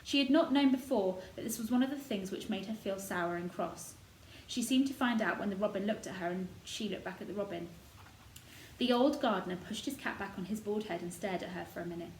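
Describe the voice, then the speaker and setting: A woman's voice: mid-range voice, brisk, a little mellow. A woman reading scripted narration from a children's novel. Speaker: storybook reader. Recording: roomy echo, noticeable background noise.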